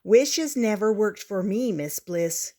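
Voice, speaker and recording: A woman's voice: mid-range voice, animated, takes it slowly, mellow. A woman voicing an outspoken, scornful schoolgirl in a storybook, challenging and declarative. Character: outspoken, scornful schoolgirl who scoffs at fairy tales.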